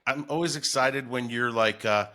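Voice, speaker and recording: Male podcast host, lower-mid pitch, very bright and punchy, casual conversational delivery. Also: moderate pace. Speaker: podcast host and voiceover performer. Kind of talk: casual chat.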